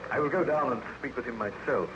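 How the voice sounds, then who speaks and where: Guy acting steady formal ship captain, old time radio drama, dull sound with loud noise. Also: medium pitch, quick pace, lightly acted. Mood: calm, sympathetic. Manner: formal, authoritative. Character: steady, commanding, kindly polar ship captain. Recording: noisy, muffled old radio recording.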